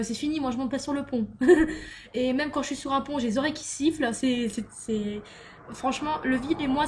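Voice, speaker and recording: A young woman with a somewhat high voice chats through a list of tag questions for her vlog. She reads each question, then answers in a loose, giggly way, peppered with fillers. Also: a little mellow. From Luxembourg. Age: young adult. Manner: chatty and giggly, lots of "euh" and "donc voilà". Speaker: beauty vlogger.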